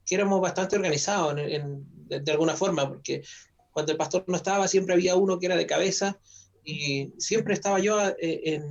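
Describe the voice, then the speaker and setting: A man, a pastor, telling his story as an interview guest in a measured way with fillers. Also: fairly high pitch. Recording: dull lo-fi audio.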